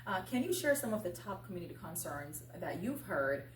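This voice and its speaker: A woman hosting a talk show, interviewing conversationally with measured, courteous phrasing. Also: lowish voice, sing-song, a crowd in the background. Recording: echoey room, distant mic, faint noise.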